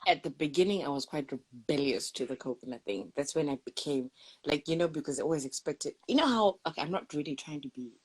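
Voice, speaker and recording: Low husky-voiced woman from Zimbabwe. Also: quick pace, low-quality recording. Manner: animated storyteller, acts out quoted voices. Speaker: guest sharing experiences. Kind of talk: casual conversation.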